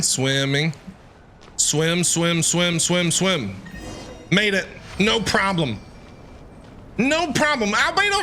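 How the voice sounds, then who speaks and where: A man giving jokey running commentary on a game. His voice is high and bright, with very lively swings in pitch, and he repeats phrases as he reacts. There is slight noise and game ambience behind him. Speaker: streamer playing a game. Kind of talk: casual reactive gameplay commentary.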